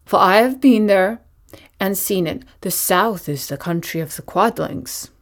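A woman's voice: mid-range voice, measured pace, lightly acted. A woman voicing a matter-of-fact Munchkin.

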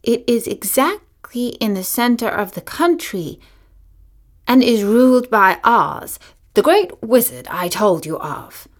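A woman voicing a kindly little old witch woman who speaks gently and sometimes in a whisper. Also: on the high side, expressive, takes it slowly, voice pushed up.

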